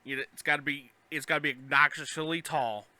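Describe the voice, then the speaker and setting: A man with a very bright, slightly high voice, recorded close and dry. He co-hosts a podcast, putting questions and joking along in a casual, conversational way. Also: fairly even intonation, a little fast, no room sound. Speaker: podcast co-host, interviewer.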